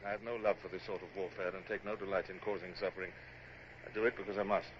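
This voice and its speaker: A man's voice: low-pitched, little pitch movement, fast talker, lightly acted. A commanding British naval captain , acted by a man in an old radio play. He speaks formally and with clipped authority, yet with humane gravity. The recording is dull, with faint noise. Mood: grave, troubled. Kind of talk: radio drama acting and first-person narration.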